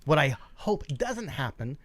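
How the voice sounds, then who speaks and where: A man's voice: on the high side, big pitch swings, unhurried, a bit bright, dry recording. A man co-hosting a gaming show in casual chat, thinking aloud and checking in with tag questions. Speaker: co-host of a weekly tabletop gaming show.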